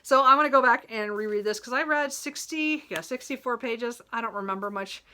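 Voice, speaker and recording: Bright-voiced woman, chatty book vlogger. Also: fairly high pitch, brisk, a little hiss or hum. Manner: rambling, self-correcting, apologetic; giggles often while talking. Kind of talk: casual, chatty talk about a reading list.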